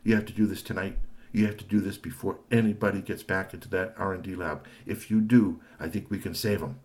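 A man's voice: low-pitched, fairly even intonation, brisk, a little mellow, lightly acted. A man voicing a proud, hot-tempered male robot inventor who talks fussily and repeats himself in bursts. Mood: urgent. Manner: fussy, boastful, repeats words in bursts.

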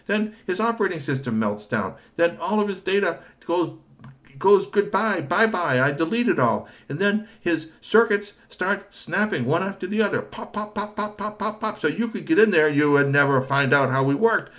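A man's voice: fairly high pitch, lively intonation, normal speed, fairly bright tone, voice pushed up. A man voicing a proud, hot-tempered male robot inventor who talks fussily and repeats himself in bursts. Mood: indignant. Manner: fussy, boastful, repeats words in bursts.

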